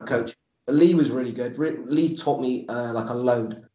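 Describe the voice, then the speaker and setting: An Englishman in his forties chats casually in an echoey room. He rambles and repeats himself, with a blunt turn of phrase, at an ordinary male pitch. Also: normal speed, dark tone. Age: 40s. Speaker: podcast guest, MMA coach and fighter. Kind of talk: casual chat.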